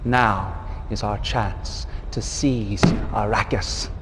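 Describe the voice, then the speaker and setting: A villainous house's military strategist for a game cutscene. He is sardonic and scheming, drawing out his words slowly with relish. His voice rings in a long hall reverb with clear rumbling noise behind it. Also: steady tone. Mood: gloating. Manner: sardonic, deliberate, savoring pauses. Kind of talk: dramatic game cutscene briefing.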